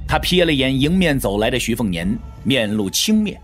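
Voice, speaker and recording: A man's voice: mid-range voice, sing-song, moderate pace, faint music behind. A man narrating a martial-arts audio drama in a dramatic, scripted storytelling style.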